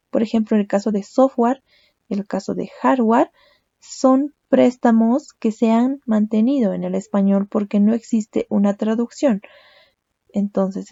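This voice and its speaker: A woman's voice: on the high side, creaky, dark tone, dry recording, dull audio. A young woman teaching a language lesson, explaining step by step and often checking with a questioning "¿no?". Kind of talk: recorded lecture.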